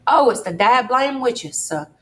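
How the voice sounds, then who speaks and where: A woman voicing a frightened, superstitious older farmhand who pleads in a high, breathless voice. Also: lively intonation, measured pace, fairly bright tone, slightly rough, heavily acted. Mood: pleading.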